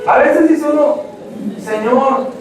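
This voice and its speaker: High-voiced preacher in an echoey church. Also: male voice, slow pace, a little hiss or hum. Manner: dramatic storytelling, acts out voices, addresses "hermanos". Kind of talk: evangelistic sermon. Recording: echoey church hall, mic at a distance.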